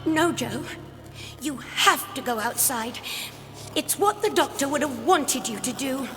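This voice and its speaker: An actress playing a frightened, stranded young companion in an audio drama, speaking in hesitant, anxious phrases. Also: female voice, sits high, lively intonation, measured pace. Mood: trapped, resigned. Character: frightened, stranded young woman companion, nervous but resolute. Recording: echoey produced audio drama with music.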